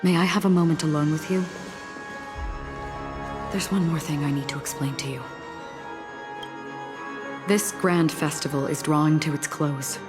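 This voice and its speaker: A woman voicing a composed, solemn young woman in a video game, speaking in a deliberate, softly grave manner. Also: deep voice, fairly even intonation. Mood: composed, earnest. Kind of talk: video game voice acting, measured dramatic dialogue. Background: background music.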